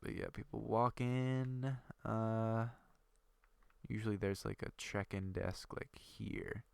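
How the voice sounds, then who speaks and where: A man's voice: lowish voice, steady tone, slow pace, creaky, clean tone, dry recording. A young man with a stuffy nose from a cold, rambling and thinking aloud with lots of fillers while commenting on his game. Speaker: gaming video creator. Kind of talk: casual gameplay commentary.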